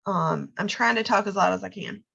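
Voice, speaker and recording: A hoarse woman, giving a chatty how-to talk and often checking in with "okay?". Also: mid-range voice, brisk, sharp tone, muffled recording. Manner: chatty, lots of fillers and "okay?" check-ins. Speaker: direct sales consultant.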